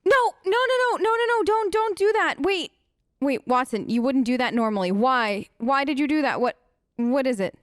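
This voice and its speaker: A woman hosts a game playthrough in a fairly high voice, recorded dry and close. She chats casually in role, playful and often hesitant as she reacts. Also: animated, normal speed, a bit bright, dry recording. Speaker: gamer, let's play host. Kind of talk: role-play gameplay commentary, casual chat.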